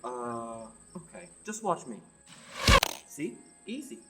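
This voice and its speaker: A woman's voice: low voice, big pitch swings, takes it slowly, over loud music, full character voice. A young woman acting a teasing, battle-hardened young warrior on a quest in a radio play. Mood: teasing, dismissive. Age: young adult. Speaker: student actor. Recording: clear background noise, night insects.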